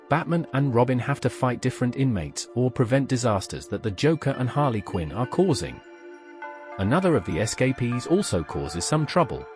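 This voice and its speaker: A man's voice: mid-range voice, a little mellow. A man hosting a pop culture show, reading scripted explanatory narration.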